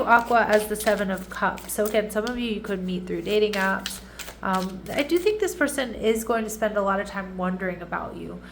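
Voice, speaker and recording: A woman with a stuffy, nasal voice talks through a tarot reading in a relaxed, chatty way, often checking in with her listeners. Her pitch sits in a middle range for a woman, and a faint hiss of background noise runs under her voice. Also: gravelly. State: congested, nasal. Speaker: tarot reader. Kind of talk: casual tarot reading monologue.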